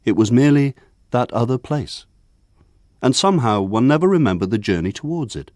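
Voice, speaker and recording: An actor playing a grown man looking back on his village childhood, narrating in a measured, lyrical way. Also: mid-range voice, lively intonation, darkish tone, no room sound, clean studio sound, close to an everyday voice. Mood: wistful. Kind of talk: scripted literary narration for radio drama.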